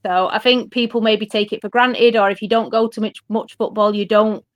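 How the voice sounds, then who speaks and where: A woman's voice: mid-range voice, fairly even intonation, normal speed, no room sound, highs cut off. A woman guest in a casual podcast interview, speaking thoughtfully and earnestly about supporting her club.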